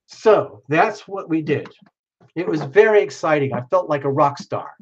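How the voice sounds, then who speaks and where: A man's voice: a little high, sing-song, normal speed, slightly rough, dull audio. A man hosting a live stream, giving a casual, exuberant tarot reading and speaking straight to the viewer while losing his voice. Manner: exuberant, chatty, addresses viewer by name.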